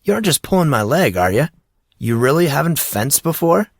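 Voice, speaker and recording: A man's voice: fairly high pitch, sing-song, a little fast, creaky, no echo, clean studio sound, voice pushed up, full character voice. A man doing a laid-back teen boy. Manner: casual, dude-and-bro slang. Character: laid-back teenage boy, martial arts enthusiast.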